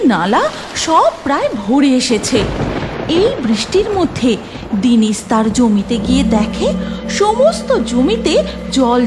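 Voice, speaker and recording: A woman's voice: high-pitched, background noise. A woman storyteller reading a scripted narration for a children's cartoon tale. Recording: music behind voice.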